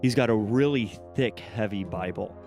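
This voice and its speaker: A man's voice: lowish voice, normal speed, a little mellow, faint music behind. A man playing a tabletop role-playing game, describing things in casual, unscripted talk.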